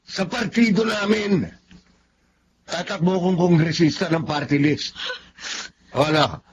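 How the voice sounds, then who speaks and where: A man's voice: fairly high pitch, slow pace. A man acting a stubborn, troubled husband in a Filipino radio drama, with a curt, defensive manner. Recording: noisy, dull radio-drama audio.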